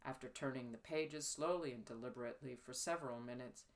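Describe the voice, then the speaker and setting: A woman narrates period fiction as its author. Her reading is scripted and measured, with an expressive storytelling manner. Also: rumbling low. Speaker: author reading own novel.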